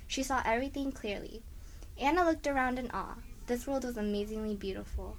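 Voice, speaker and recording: Female storyteller, fairly high voice, scripted kids' tale narration, faint background noise. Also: fairly quick.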